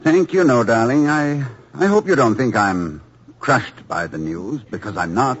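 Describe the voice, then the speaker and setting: An actor playing a gentle, wry, middle-aged college president and devoted husband in old-time radio drama, articulate but hesitant. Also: male voice, mid-range voice, lively intonation, unhurried, husky. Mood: wry, brave face. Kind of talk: 1950s American radio comedy-drama acting. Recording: dull old radio broadcast recording.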